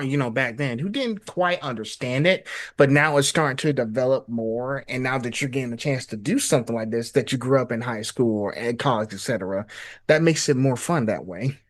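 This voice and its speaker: Brief: male podcast host, mid-pitched, loose and rambling, enthusiastic. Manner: rambling, enthusiastic, many fillers. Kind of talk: casual interview chat.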